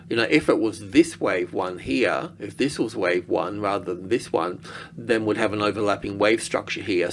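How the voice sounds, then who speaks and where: A man giving casual, unscripted screen-share commentary as an analyst, halting and thinking aloud as he goes. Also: fairly low pitch, slight background noise. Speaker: market analyst presenting.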